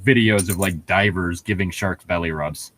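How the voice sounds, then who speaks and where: A man's voice: sits low, moderate pace, a bit bright. A man casually riffing as co-host of a fan fiction reading, joking and laughing often. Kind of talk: casual riffing commentary on a story.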